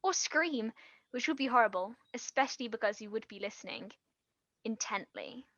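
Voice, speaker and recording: A woman acting a nervous, eager aspiring writer in a naturalistic stage play, talking in a flustered, halting, rambling way. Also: fairly high pitch, animated, fairly bright tone, no room sound, highs cut off. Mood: rambling, awkward. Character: nervous, eager female aspiring writer hiding her past.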